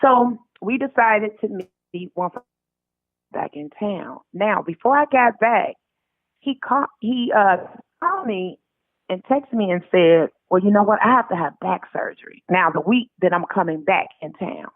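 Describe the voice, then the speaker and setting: An American woman comes through a narrow, phone-like recording with a dry sound. Her voice sits at a middle pitch as she tells stories in a casual, colloquial way, re-enacting bits of dialogue as an interview guest. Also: moderate pace, no echo. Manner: animated retelling with quoted dialogue, colloquial. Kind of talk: casual conversational storytelling. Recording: tinny narrow phone line.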